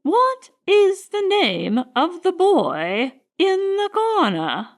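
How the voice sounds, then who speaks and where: A haughty elderly society lady, acted out by a woman reading a storybook, speaks in a high voice at a very slow, drawn-out pace. She is severe and condescending, and her pitch swings emphatically. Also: wildly swooping pitch, full character voice.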